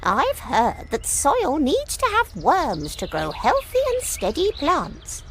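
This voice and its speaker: Woman voicing an earnest, high little red hen. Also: wildly swooping pitch, slow pace, heavy background noise, heavily acted. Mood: earnest, curious. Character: helpful little red hen, earnest female storybook neighbour.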